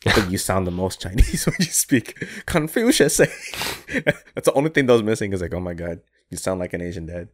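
A young man co-hosting a casual chat, animated and jokey, swearing and laughing often. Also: deep voice, fairly quick. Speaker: podcast co-host.

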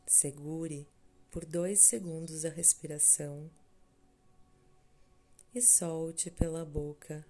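A Brazilian woman guides a meditation in a low, mellow voice. She speaks slowly and soothingly, leaving long pauses between short, measured phrases. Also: steady tone. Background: background music.

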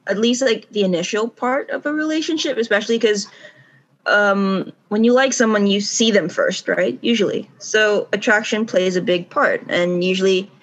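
A woman co-hosting a podcast, talking casually in a rambling, self-correcting way and laughing easily. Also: medium pitch. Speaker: podcast co-host. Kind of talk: casual chat. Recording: dull lo-fi recording.

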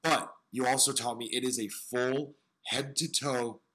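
A man with a smoky, raspy voice hosting an interview, talking earnestly and searchingly about music and his own struggles. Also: mid-range voice, fairly even intonation, unhurried, a bit bright, poor audio. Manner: earnest, searching, piles up phrases. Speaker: podcast host. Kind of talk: reflective interview talk.

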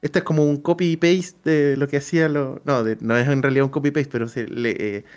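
A man's voice: medium pitch, fairly even intonation, brisk, clear, resonant tone. A man with a Chilean accent chatting casually and colloquially as co-host of a role-playing livestream. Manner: colloquial, slangy.

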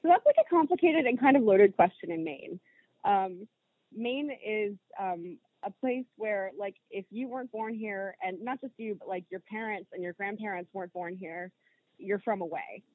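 An American woman in her thirties chats as an interview guest. Her pitch is average and the sound is dry and close. She speaks informally and candidly, pausing often as she works out what to say. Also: no echo, phone-quality sound. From Rhode Island, United States. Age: 30s. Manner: informal, candid, with hesitant pauses and hedging. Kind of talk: casual personal conversation.

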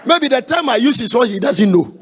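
A man with a Ghanaian accent preaching in a raspy voice, emphatic and repetitive, putting questions to his congregation. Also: very high-pitched, lively intonation, fairly quick, some chatter behind. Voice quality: raspy, gravelly. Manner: emphatic, repetitive, conversational asides.

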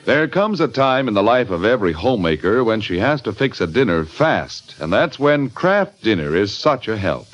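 A man announcing a commercial in 1940s radio style, with a polished sales pitch. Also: sing-song, normal speed, hardly any pauses, dull audio, faint music behind.

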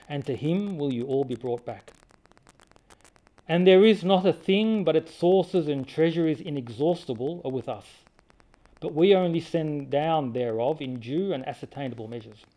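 A man's voice: on the high side, mellow, rough recording. A man leading a study group, reading passages of a religious text aloud and explaining them in an informal, hesitant way with many ums and restarts. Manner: informal and conversational. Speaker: study circle leader.

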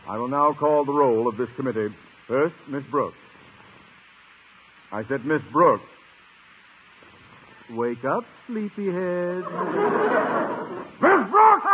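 An actor playing a pompous, short-fused high school principal in an old-time radio comedy, speaking in officious, formal phrases. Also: male voice. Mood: exasperated. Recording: narrow, hissy old radio broadcast.

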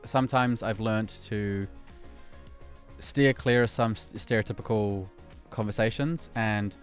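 A young man co-hosting a podcast, relaxed and chatty as he recounts travel stories. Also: fairly low pitch, a little slow, faint music behind. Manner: easygoing storyteller, laughs along. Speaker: podcast co-host. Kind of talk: casual podcast chat.

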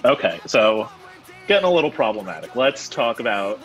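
A young man co-hosting a music podcast, talking casually with many fillers and pauses. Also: lowish voice, sing-song, fairly bright tone. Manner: hesitant, filler-heavy, reads out his notes. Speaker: podcast co-host. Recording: dull, dry lo-fi mic.

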